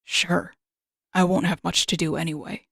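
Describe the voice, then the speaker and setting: A woman voicing a nervous, kind teenage boy in a fan fiction audiobook, soft-spoken and halting. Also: on the low side, fairly even intonation, gravelly, husky, no room sound, studio quality, voice pushed down, exaggerated voice. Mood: puzzled.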